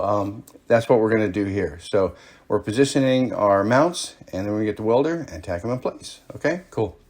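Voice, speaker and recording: A man's voice: on the low side, no room sound. Man giving casual hands-on how-to, dry sound. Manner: folksy, informal, frequent check-ins like okay and cool. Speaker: host of an off-road DIY channel.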